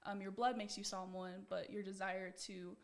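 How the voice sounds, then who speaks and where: A woman's voice: mid-range voice, flat delivery, moderate pace. A young American woman giving conversational interview answers in an earnest, reflective way. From United States. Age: young adult. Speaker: pageant titleholder being interviewed.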